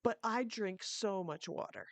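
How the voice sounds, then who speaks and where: A woman's voice: mid-range voice, unhurried, no room sound. A woman co-hosting a podcast in casual chat, congested and giggling often. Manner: giggly, chatty. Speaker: podcast co-host.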